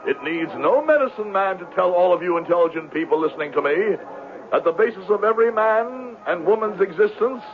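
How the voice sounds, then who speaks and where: An actor in a 1970s radio drama playing a smooth-tongued con man and medicine-show pitchman with florid, pompous phrasing. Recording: tinny, noisy 1970s radio recording.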